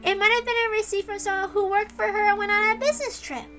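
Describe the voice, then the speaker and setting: Visual novel little girl: tiny high bright voice, earnest, serene, patiently lecturing optimist. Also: fairly even intonation, faint music behind. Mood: calm, reasoning. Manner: measured, reasoning, gently lecturing. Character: serene nine-year-old girl, earnest, gently preachy, unshakably optimistic. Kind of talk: dramatic reading of a visual novel.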